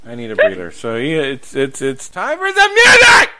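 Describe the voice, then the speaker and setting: A man's voice: a little high, huge pitch swings, moderate pace, very noisy. A man hosting a radio show, chatting casually with crude, sweary, mock-pleading banter. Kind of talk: casual chat.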